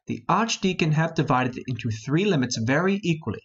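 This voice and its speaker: A man playing a reasonable, peacemaking noble conspirator in a Shakespearean play reading, measured and formal. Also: fairly high pitch, lively intonation, slightly rough, muffled recording. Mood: businesslike.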